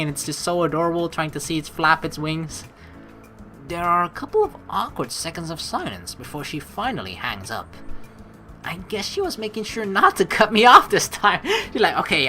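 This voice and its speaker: A woman doing chatty, excitable game commentary, mixing story text read aloud with playful asides. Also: lowish voice, normal speed, soft background music. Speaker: let's play host.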